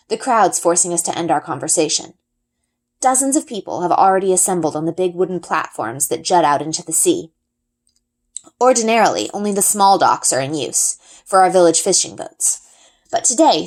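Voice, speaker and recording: Woman, lowish pitch, very lively intonation, children's storybook narration. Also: normal speed. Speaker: story reader. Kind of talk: expressive children's book read-aloud narration.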